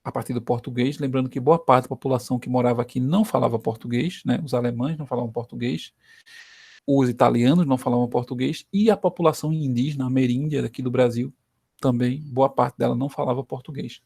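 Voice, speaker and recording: A Brazilian man teaches history in a relaxed, conversational tone, tagging questions onto his sentences to keep students with him. His voice sits at an ordinary middle pitch. The recording is dry and close, with no room sound. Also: quick pace, vocal fry, slightly rough, no room sound. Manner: conversational, frequent "né" tag questions.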